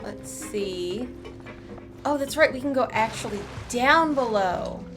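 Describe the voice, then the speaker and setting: A woman's voice: a little high, lively intonation, slow, music in the background. A woman doing casual live commentary as she plays through a video game. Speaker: game streamer. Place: indoor streaming setup, game music behind.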